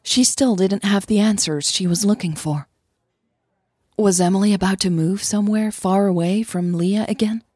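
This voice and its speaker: A woman with a soft, breathy voice, speaking as a podcast host and storyteller. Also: mid-range voice, no echo, studio quality. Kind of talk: scripted story narration and listener thanks.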